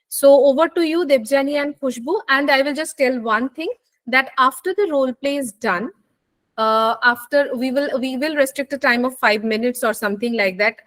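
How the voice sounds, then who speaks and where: Quick-talking woman. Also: high voice. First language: Bengali. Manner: switches between English and Hindi, many fillers. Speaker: nutrition instructor hosting an online class. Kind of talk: online class briefing. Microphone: video call.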